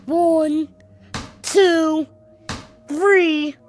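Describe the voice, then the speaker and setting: Small child, very high voice, slow delivery, stubborn and whiny, radio drama, faint music. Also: female voice, fairly even intonation, darkish tone, full character voice. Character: stubborn, whiny little child counting at play.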